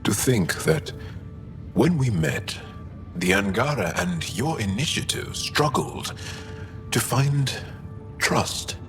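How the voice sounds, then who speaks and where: An actor playing a gracious, reflective male alien ally in a video game, speaking haltingly with pauses between phrases. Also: medium pitch, big pitch swings, faint music behind.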